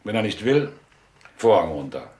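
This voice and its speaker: An actor playing a middle-aged, elegant mobster in a German radio drama, businesslike and coolly threatening. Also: male voice, fairly low pitch, sing-song, moderate pace, a little mellow. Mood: businesslike threat.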